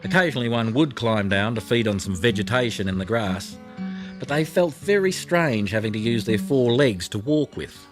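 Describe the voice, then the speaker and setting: Expressive man narrating a children's story over music. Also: mid-range voice, normal speed. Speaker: audiobook narrator. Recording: music behind the voice.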